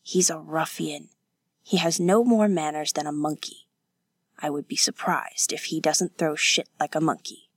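Stern young female audiobook character, acted by a woman narrator: proper, weary, hushed, lowish and dryly recorded. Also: steady tone, a little slow, no echo. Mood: scornful. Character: stern, proper, weary woman of twenty-four, protective and scornful.

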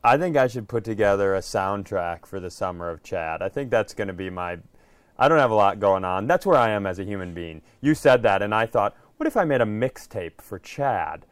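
Clear, close-miked American man, casual co-host. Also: fairly low pitch, expressive, a little fast, no room sound. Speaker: podcast co-host. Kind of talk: casual podcast chat.

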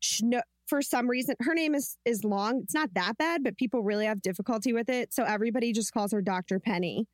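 Woman co-hosting a chatty podcast, friendly, laughs a lot, bit higher voice. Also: fast talker, few pauses, no room sound, clean studio sound. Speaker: podcast co-host. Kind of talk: casual podcast chat.

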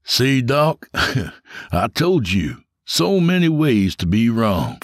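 A man's voice: medium pitch, sing-song, takes it slowly, no echo, clean studio sound, pitched above the performer's own voice. A man voicing a smug, mocking male officer in an audiobook. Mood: taunting. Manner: mocking, cocky.

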